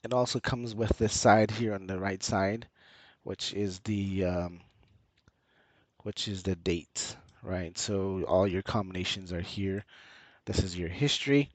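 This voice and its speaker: A man's voice: low-pitched, steady tone, a little slow, no room sound. A man with a slight cold, narrating a casual screen-share tutorial in a hesitant, repetitive explaining way. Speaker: channel host and tutorial presenter.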